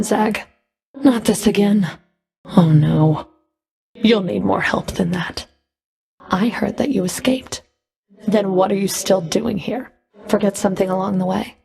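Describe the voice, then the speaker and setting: A cold female Fury, a whip-wielding enforcer of the underworld in a video game. Her delivery is slow and deliberate, with heavy vocal fry and a breathy edge. She speaks in curt, scornful commands and taunts. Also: medium pitch, a little mellow. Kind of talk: video game combat voice lines.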